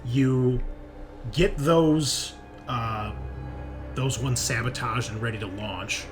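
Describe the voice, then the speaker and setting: A man with a medium pitch acts as game master for a tabletop roleplaying session. He talks casually and haltingly, working things out aloud as he narrates, with music clearly playing behind him.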